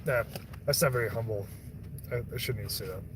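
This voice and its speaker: A man's voice: medium pitch, fast talker, slight background noise. A young man on a stream, rambling and swearing in casual chat, often breaking off and restarting his sentences. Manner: rambling, profane, self-interrupting.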